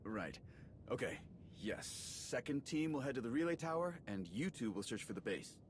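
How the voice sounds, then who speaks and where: A man's voice: mid-range voice, very animated, normal speed, background noise, natural voice. A man acting a chatty, friendly male soldier and slicer in a video game cutscene, casual and wry with rambling asides. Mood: businesslike.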